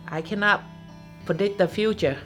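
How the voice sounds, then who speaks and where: A middle-aged woman who speaks English as a second language, talking earnestly in a halting way in a documentary interview. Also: medium pitch, faint music behind. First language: not English. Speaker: interviewee, salon owner.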